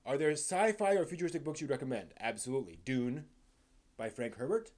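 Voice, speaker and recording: A man's voice: medium pitch, expressive, a little mellow. A man hosts a casual live stream in a mid-range voice. He speaks off the cuff to his viewers, feeling his way through thoughts. His sentences often stall and get reworded along the way. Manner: halting, thinking aloud, rephrasing mid-sentence.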